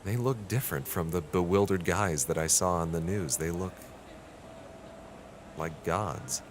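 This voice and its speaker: A man's voice: low-pitched, measured pace, slight background noise. A man with an American accent playing an earnest, frustrated 1960s reporter who narrates a brooding audio diary in a radio drama. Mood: brooding, discouraged. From United States.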